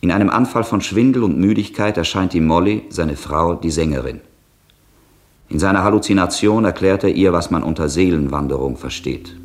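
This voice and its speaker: An actor as the announcer of a 1960s German radio drama, reading scripted scene summaries. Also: male voice, low voice, brisk, close to an everyday voice. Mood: factual.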